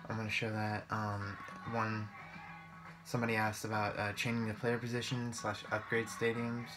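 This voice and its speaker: A man's voice: lowish voice, completely flat delivery, normal speed. A young man doing casual gameplay commentary in a hesitant, rambling, informal way. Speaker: gaming video creator. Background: guitar music.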